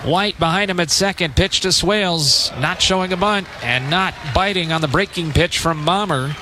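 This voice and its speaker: A man calling live baseball play-by-play on the radio in measured, clipped phrases with pauses between them. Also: sits high, bright, forceful tone, slight background noise. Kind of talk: live radio sports commentary.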